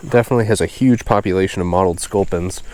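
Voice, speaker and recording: Low-pitched, congested man vlogging outdoors. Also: quick pace, a little mellow. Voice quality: nasal, stuffed-up. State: congested and sniffly. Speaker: host of a fishing video series. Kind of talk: casual outdoor vlog narration. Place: outdoors beside a small creek.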